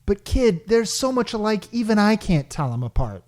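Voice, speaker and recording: Animated, slangy, exasperated salesman, acted out in a radio play. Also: mid-range voice, moderate pace, close to an everyday voice. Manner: colloquial, slangy, wry sales patter. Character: brash, exasperated salesman named Caldwell, wisecracking working-class type. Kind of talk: hobby radio play acting.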